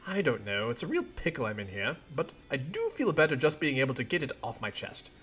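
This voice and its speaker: Man acting a young adventurer, cheerfully oblivious, wordy folksy slang, lively swooping delivery, music behind. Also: mid-range voice, a little fast. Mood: chatty, oblivious. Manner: wordy, folksy old-fashioned slang, earnest.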